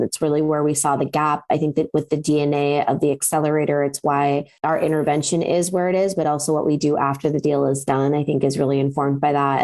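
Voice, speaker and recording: Low-pitched woman, american accent, talks easy with lots of ums, on a call. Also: a little mellow. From Pakistan. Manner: fluent with frequent ums and restarts. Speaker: guest, venture capital partner. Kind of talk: conversational interview answers.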